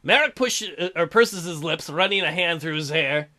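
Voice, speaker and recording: A man's voice: on the high side, animated, moderate pace, bright, forceful tone. A man reading a visual novel aloud as a gaming host, breaking in with crude, mocking jokes. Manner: irreverent, crude joking, mocking. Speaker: gaming video host, let's player.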